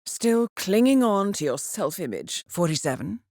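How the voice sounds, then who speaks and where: A woman playing a cool, composed intelligence handler in a video game, giving mission briefings in a measured, formal, dryly witty manner. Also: mid-range voice, big pitch swings, a little mellow, dry recording, studio quality. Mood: dryly wry.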